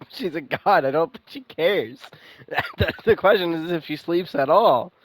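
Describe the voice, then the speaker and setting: A man's voice: on the high side, lively intonation, a little slow. A man commentating casually on a video game as he plays, informal and sweary, often repeating his phrases. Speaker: game streamer. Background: faint game music.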